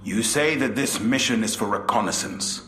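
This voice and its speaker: An actor playing a sober space marine comrade in a game cutscene, measured and serious. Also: male voice, lowish voice, lightly acted. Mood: concerned. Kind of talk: video game cutscene acting. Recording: echoing, faint music behind. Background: faint music.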